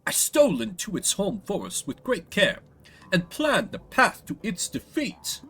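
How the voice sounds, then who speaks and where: A man's voice: high voice, wildly swooping pitch, a little slow, fairly bright tone, husky, heavily acted. A man voicing a boastful, theatrical dragon slayer in a fantasy video game, pompous and florid in his storytelling. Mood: dramatic bragging. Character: boastful male dragon slayer, grandiose, theatrical, self-styled hero.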